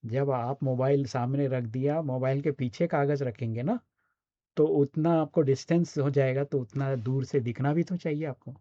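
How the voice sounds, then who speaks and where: Male Indian coach, mid-pitched and soft-toned, explanatory online teaching with frequent check-ins, dull recording. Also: steady tone, moderate pace, dry recording. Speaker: voice and radio scriptwriting coach.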